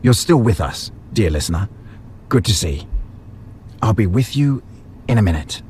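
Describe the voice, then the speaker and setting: A man's voice: lowish voice, animated, normal speed, a little mellow, a little hiss or hum, lightly acted. A man playing a maniacal butcher villain in an audio drama, theatrical and condescending in his delivery. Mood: grandiose, excited. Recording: music under the voice.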